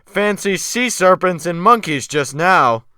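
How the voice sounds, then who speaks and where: A man's voice: high-pitched, animated, measured pace, pushed and bright, smooth, no room sound, studio quality, voice pushed up, exaggerated voice. A man performing a cruel, domineering sea captain who barks orders and mocks, in an audiobook drama. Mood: mocking. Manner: barking orders, domineering, mocking. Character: cruel, commanding sea captain, cold and brutal.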